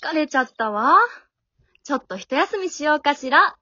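Lady doing a breezy oblivious fairytale girl, radio play, bright voice, close dry lo-fi sound. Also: high-pitched, lively intonation, moderate pace, dry recording. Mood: blithe, cheerful. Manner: prim storybook phrasing, blithe. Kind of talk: amateur radio drama acting.

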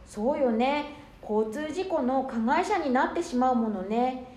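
A woman acting as a gentle, mannerly wife and family peacemaker in a radio drama. Her voice is high-pitched and soothing, recorded in an echoey room. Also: expressive, unhurried. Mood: soothing, concerned. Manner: polite, soothing.

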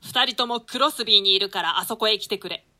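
Villainous duke for an archaic radio Shakespeare, woman actor: forceful bright tone, sly, ruthless, lordly. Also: mid-range voice, fast. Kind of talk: theatrical Shakespeare reading in archaic Japanese translation.

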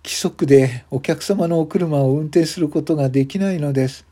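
A man's voice: a little high, moderate pace, dark tone, slightly rough, voice pushed up. A man voicing a timid, polite hotel parking attendant who speaks hesitantly and apologetically. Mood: apologetic.

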